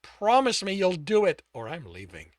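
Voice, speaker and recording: A man voicing a young man who barks harsh, forceful demands at someone he loves. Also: high-pitched, huge pitch swings, normal speed, no room sound, clean studio sound, voice pushed up. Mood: harsh, commanding. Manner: loud, clipped, forceful delivery. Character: young man, desperate and furiously commanding.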